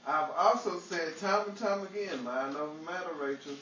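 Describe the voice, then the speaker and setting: High-voiced bumbling, boastful husband acted by a man. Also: normal speed, reverberant, recorded from a distance, noisy background, close to an everyday voice. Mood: defensive. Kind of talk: old-time radio comedy acting.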